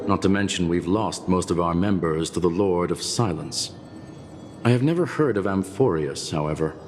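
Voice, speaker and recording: A deep-voiced scholar of pure logic, played by a man for a video game. The delivery is formal, measured and detached, as from a mind that is not organic. Clear background music plays under the speech. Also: close to an everyday voice. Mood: analytical, pondering. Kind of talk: scripted video game voice acting.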